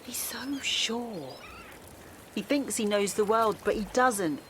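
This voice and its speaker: A middle-aged mum in a radio play, worn down by worry. Her mid-pitched voice is confiding and strained, with clear background ambience around it. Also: lively intonation, takes it slowly, noisy background. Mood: shaken worry. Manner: weary, confiding, emotionally strained. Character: Alice, a worn-out, worried mother of a teenage son. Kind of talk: naturalistic audio drama acting.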